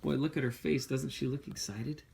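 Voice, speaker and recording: A man reads a storybook aloud in a middle-pitched voice. His delivery is expressive and aimed at a small child, slipping between scripted narration and casual questions about the pictures. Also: normal speed, creaky, slightly rough. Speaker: read-aloud host reading to a young child. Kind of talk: storybook read-aloud with chatty asides to a child.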